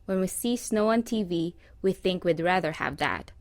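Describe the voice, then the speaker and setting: A woman narrating a scripted presentation in a measured, read-aloud manner. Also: fairly low pitch, dry recording, clean studio sound.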